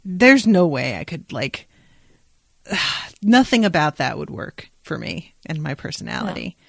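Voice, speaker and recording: A woman's voice: low-pitched, sing-song, measured pace, gravelly, slightly rough, no echo, clean studio sound. A woman hosting a podcast, talking casually and informally with playful, opinionated asides. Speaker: podcast host. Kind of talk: casual chatty podcast discussion.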